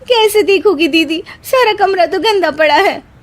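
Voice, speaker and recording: Woman as a shrill, scheming young daughter-in-law. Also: unhurried. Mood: whiny. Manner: loud, whiny melodrama.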